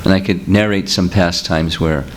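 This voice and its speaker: A man's voice: low-pitched, fairly even intonation, moderate pace, slight background noise. A man giving a seminar talk as a spiritual teacher, explaining patiently with examples and answering questions. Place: seminar room with audience.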